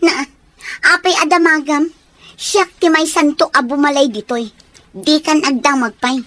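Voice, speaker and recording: An insolent, bossy woman in an Ilocano radio drama. Her voice is high and closely recorded in a dry space, with an Ilocano accent. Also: steady tone, unhurried, a bit bright, no echo. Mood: haughty.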